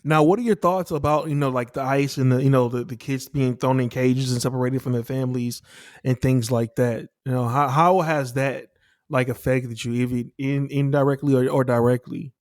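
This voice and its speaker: A man's voice: mid-range voice, fairly even intonation, moderate pace, darkish tone, no echo, clean studio sound. A man hosting a casual interview, laid-back and leaning on fillers as he asks questions. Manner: laid-back, leans on "you know" and "like". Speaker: show host.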